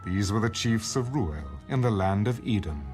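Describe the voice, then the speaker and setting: A man giving a formal, measured scripted narration of scripture, as in an audio Bible. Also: lowish voice, animated. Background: background music.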